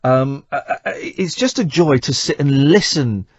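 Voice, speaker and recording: A man's voice: fairly high pitch, animated, unhurried, no echo, dull audio. A middle-aged British man hosting a radio show, talking in an enthusiastic, rambling way and repeating words for emphasis.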